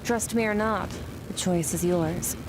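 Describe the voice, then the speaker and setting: A woman voicing an aloof, mysterious master swordswoman in a video game, speaking in a cool, terse, deadpan manner. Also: fairly low pitch, measured pace, noisy background, natural voice. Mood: detached, matter-of-fact.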